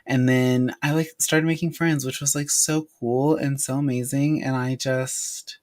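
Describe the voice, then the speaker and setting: A man's voice: mid-range voice, steady tone, a little slow, darkish tone. A man behind the camera joining a casual chat, rambling and filling his talk with "like". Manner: rambling, fills with "like" a lot. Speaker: camera operator and co-host.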